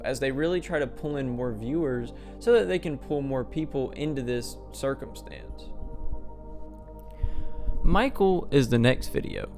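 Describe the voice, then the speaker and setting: A man hosting a video essay, giving a scripted explainer narration. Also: mid-range voice.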